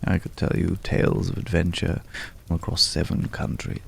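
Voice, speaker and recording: A very deep, mysterious gentleman acted by a man speaks in a heavily creaking, hushed voice over noticeable background noise. He is courtly and world-weary, choosing florid, old-fashioned words as in an intimate ASMR roleplay. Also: monotone, normal speed. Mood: wistful, pensive. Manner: archaic, florid, hesitant, pensive phrasing.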